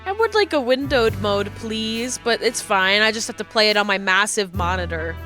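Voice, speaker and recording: Congested woman streamer, bright chatty voice. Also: mid-range voice, expressive, normal speed, soft background music. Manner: chatty and rambling. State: congested from a cold. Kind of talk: casual chat on a game stream. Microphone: desk microphone.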